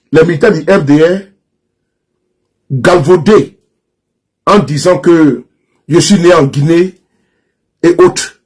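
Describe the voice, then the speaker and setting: Husky high-voiced Ivorian man ranting. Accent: Ivorian French. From Ivory Coast. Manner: repetitive, insistent, mocking. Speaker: social media commentator. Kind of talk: direct-to-camera political rant.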